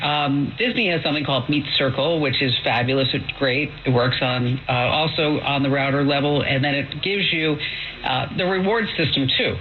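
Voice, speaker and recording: Male call-in tech host, fairly high voice, chatty and informal, heard through noisy, muffled radio. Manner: informal, exclamatory, peppered with "you know". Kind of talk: casual, chatty radio advice. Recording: noisy, dull shortwave radio.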